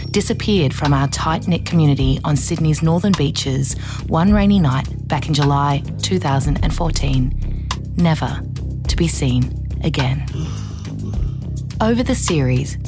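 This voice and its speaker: A woman playing a young, self-important investigative journalist, narrating a true-crime podcast in a solemn, measured, scripted style. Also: low-pitched, expressive. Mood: solemn, dramatic. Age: young adult. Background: music.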